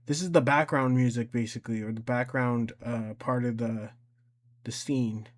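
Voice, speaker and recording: Regular guy doing a casual screen tutorial, kinda hesitant, medium pitch, thinking out loud. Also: steady tone, normal speed, a little hiss or hum. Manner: informal, hesitant, thinking aloud. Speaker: tutorial host.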